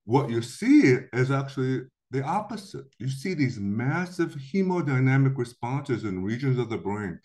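Lively middle-aged man lecturing. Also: medium pitch. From Korea. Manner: lively explanatory delivery with acted-out quotes. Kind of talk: recorded slide lecture.

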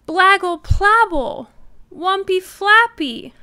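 A high, bright toddler girl, acted by a woman, babbling loudly and urgently. She is a frantic little storybook character, hammering out her nonsense words. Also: expressive, takes it slowly, full character voice. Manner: loud emphatic baby babble.

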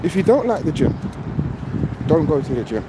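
Emphatic man vlogging over loud traffic. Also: a little high, big pitch swings, moderate pace. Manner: emphatic, repeats points, many "you know what I mean". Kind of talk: casual selfie vlog. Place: outdoors on a street by a shop, traffic around. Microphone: phone at arm's length. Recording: loud street and car noise.

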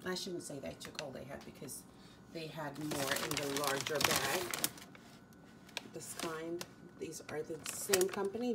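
Slow, chatty woman filmed from afar. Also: fairly low pitch, recorded from a distance, heavy background noise. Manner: chatty, rambling, with frequent ums and restarts. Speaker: fitness vlogger. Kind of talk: casual grocery haul chat. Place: home kitchen, indoors.

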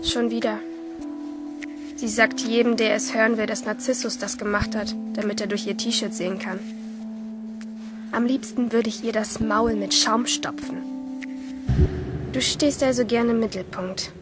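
A woman's voice: a little high, fairly even intonation, unhurried, pauses often, lightly acted. An actress playing a rebellious, intense and jealous teenage girl swimmer, narrating and speaking in a crime radio play. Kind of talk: first-person audio drama narration and dialogue in a crime radio play. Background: music behind the voice.

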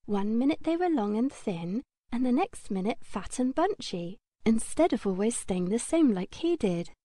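A woman reads a scripted children's story as narrator. Her voice is mellow and a little high, with gentle, measured phrasing. The sound is dry, with no room echo. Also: very animated. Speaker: audiobook narrator.

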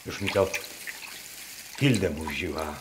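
Radio drama: brooding peasant preacher, slow delivery, echoing barn with noticeable background noise. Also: male voice, rumbling low, lively intonation, exaggerated voice. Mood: bitter, troubled. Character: troubled Masurian peasant preacher, pious, brooding, indignant. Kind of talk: dialect radio drama acting. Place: wooden barn performance space. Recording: roomy barn, noisy with water clicks.

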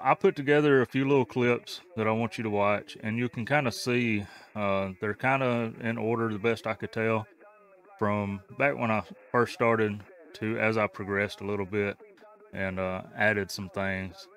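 Low-pitched man rambling in a vlog. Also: a bit bright, low-quality recording. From United States. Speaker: amateur radio livestreamer. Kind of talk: casual rambling vlog narration. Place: indoor radio shack. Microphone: studio microphone on a boom.

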